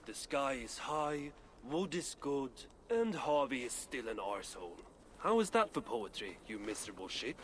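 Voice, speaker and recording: Gruff, sardonic Norse character. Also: male voice, mid-range voice, sing-song, normal speed, a bit bright. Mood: sardonic banter. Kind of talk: cinematic fantasy game acting. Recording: clear background noise.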